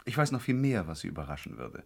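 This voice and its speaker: Smug, low, creaky-voiced gangster. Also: male voice, very animated, fast talker, exaggerated voice. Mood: smug, menacing. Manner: mocking, falsely courteous, commanding. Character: smug, mockingly polite male gangster with a revolver. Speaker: actor playing the villain. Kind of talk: 1970s German radio crime drama acting.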